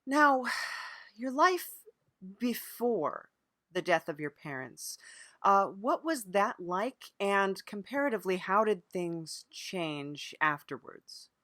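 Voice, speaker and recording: A man hosting a mock-serious improvised comedy interview, hesitant and deadpan as he earnestly plays along. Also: high voice, takes it slowly, no echo, clean studio sound. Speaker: podcast interviewer.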